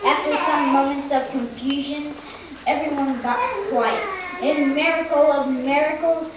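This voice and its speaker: A woman with a high voice reads a story aloud in an earnest, deliberate way. She is heard from a distance in a heavily reverberant hall, with clear noise over the recording. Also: fairly even intonation. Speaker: storyteller narrating a church puppet show. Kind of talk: scripted story narration, read aloud. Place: large echoey church hall, recorded from afar. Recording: very echoey, distant amateur recording, loud noise.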